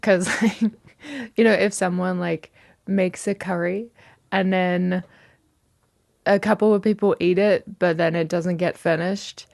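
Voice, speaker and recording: A woman talks in a wry, casual interview style. Her voice is a little low with clear vocal fry, recorded dry and close. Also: fairly even intonation, takes it slowly, a little mellow, dry recording. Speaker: interviewee, house resident.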